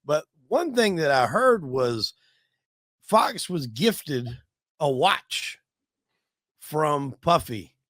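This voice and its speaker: A man's voice: on the high side, big pitch swings, drawn-out words, pauses often, a bit bright, no room sound, clean studio sound. A man interviewing in a conversational way, careful and hedging as he talks. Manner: hesitant, careful, hedging what he repeats.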